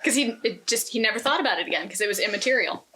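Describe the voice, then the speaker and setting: A woman's voice: fairly high pitch, lively intonation, racing, bright, forceful tone, husky. A woman co-hosting a casual, conversational discussion about books. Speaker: podcast co-host.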